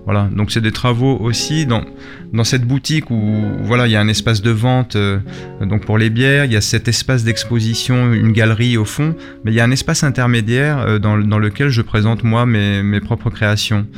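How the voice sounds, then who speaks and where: A man's voice: lowish voice, steady tone, normal speed. A middle-aged man being interviewed in a radio studio, talking conversationally and often repeating words as he searches for his phrasing. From Spain and Belgium, lived in France. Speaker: interview guest, shop and gallery owner, artist. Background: guitar music playing behind the voice.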